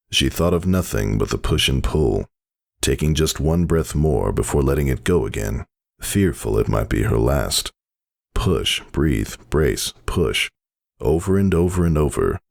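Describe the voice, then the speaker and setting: A man giving a dramatic, theatrical story narration with intense, measured phrasing. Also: rumbling low, creaky.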